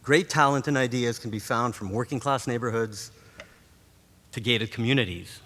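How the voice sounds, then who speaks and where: A man from Montreal whose first language is Greek, giving a formal graduation address as a professor in a measured, rhetorical way. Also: medium pitch, fairly bright tone. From Montreal, Canada. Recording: long reverb of a large hall.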